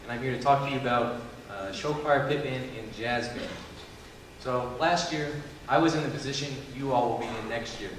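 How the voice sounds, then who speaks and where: A man's voice: mid-range voice, normal speed, slight background noise. A teenage boy from Texas, a student drum major, giving an earnest school presentation with many fillers. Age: teens. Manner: earnest, filler-laden speech. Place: school auditorium. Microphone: handheld mic. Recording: echoey hall from far off.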